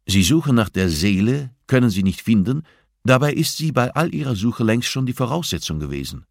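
Man playing a calm, needling professor. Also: mid-range voice, gravelly, dry recording, clean studio sound, pitched above the performer's own voice. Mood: superior. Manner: composed, deliberate, needling. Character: gaunt, bald male professor, calm, superior, probing.

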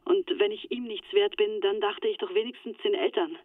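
A woman's voice: medium pitch, steady tone, normal speed, no room sound. An actress in a radio play playing a shaken young woman in hiding, confiding over a telephone. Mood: distressed. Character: shaken Turkish-Swiss woman in hiding, recounting betrayal. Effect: telephone filter. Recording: thin, dry phone-line sound.

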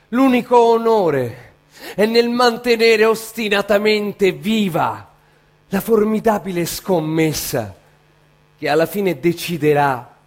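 A man reads a literary text with solemn, dramatic weight. His voice sits a little high, and he moves very slowly, setting each phrase apart. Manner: measured, phrase by phrase. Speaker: actor reading literary quotations.

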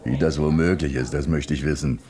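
Abgrundtiefer, trockener Skeptiker. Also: male voice, a bass voice, fairly even intonation, brisk, crowd noise. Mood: sceptical. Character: sceptical, drily mocking male radio colleague. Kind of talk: radio drama acting.

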